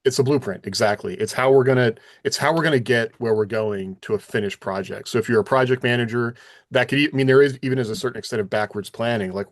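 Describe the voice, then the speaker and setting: A man with a fairly low voice hosts a casual podcast discussion. He is conversational and thinks out loud as he explains and asks questions. The sound is dry, with little room on it. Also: no echo. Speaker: podcast host.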